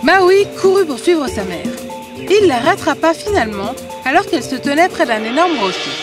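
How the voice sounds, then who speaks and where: A woman's voice: high-pitched, big pitch swings, normal speed. A woman narrating a scripted fairy tale as a storyteller. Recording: music behind the voice.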